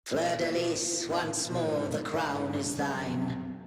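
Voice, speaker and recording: A woman's voice: sits low, steady tone, slow pace. A woman playing a haughty, ancient leviathan goddess in a video game, speaking in grandiose, archaic, solemn proclamations. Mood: solemn, commanding. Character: haughty ancient female sea leviathan deity, wrathful and domineering. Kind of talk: dramatic video game acting. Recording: long cavernous reverb, loud music behind.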